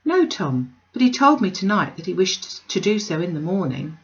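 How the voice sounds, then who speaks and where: Storybook role: dutiful young woman, read by a female narrator, quiet, restrained, politely reserved, slightly dull recording. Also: lowish voice, sing-song, moderate pace. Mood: fond, subdued.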